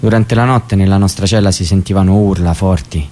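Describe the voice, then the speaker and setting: An actor playing a male prisoner recalling a night with his cellmate, in a plain, halting way, in a radio drama. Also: low voice, fairly even intonation, warm tone, slight background noise, lightly acted. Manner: plain, halting spoken recollection. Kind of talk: theatrical radio drama monologue. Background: music.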